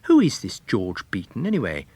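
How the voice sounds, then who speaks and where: A man's voice: on the low side, wildly swooping pitch, moderate pace, mellow, no echo, full character voice. A man voicing a genial, courteous salesman and amateur sleuth in a story reading, mild and inquisitive. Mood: wry.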